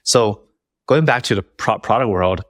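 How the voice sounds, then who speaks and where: A man with a very low-pitched voice hosts a casual interview. He talks informally, working out his thoughts as he goes, in a very dry recording. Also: normal speed, no echo. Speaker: podcast host, interviewer. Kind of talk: casual chat.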